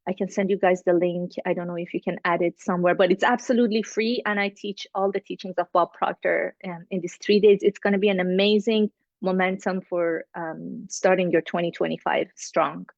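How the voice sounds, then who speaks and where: A woman's voice: on the low side, steady tone, brisk, vocal fry, no echo. A woman with a Middle Eastern accent speaks earnestly as a mindset coach, repeating key words to drive them home. English is not her first language. Her voice comes through dry, muffled video-call audio. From Middle East. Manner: earnest, motivational, repeats for emphasis. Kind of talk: online networking circle pitch.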